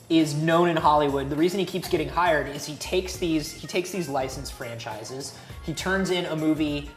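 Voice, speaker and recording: A woman debating casually and emphatically as a panelist on a talk show about video games. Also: deep voice. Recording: roomy echo, music underneath.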